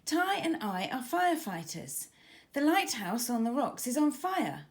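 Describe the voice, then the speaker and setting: A woman voicing a friendly young girl firefighter in a children's storybook, brisk, emphatic and easily flustered. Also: high voice, sing-song, full character voice.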